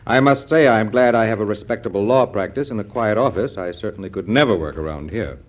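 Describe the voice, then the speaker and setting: A man's voice: lowish voice, brisk, close to an everyday voice. An actor playing a wry male lawyer and friend in an old-time radio drama, speaking in a dry, conversational way. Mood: genial. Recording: dull, lo-fi vintage radio recording.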